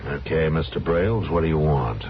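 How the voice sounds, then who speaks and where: An actor playing a calm, firm, laconic frontier marshal in an old-time radio western. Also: male voice, very deep voice. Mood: dry, firm. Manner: laconic, unhurried. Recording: muffled, noisy old radio recording.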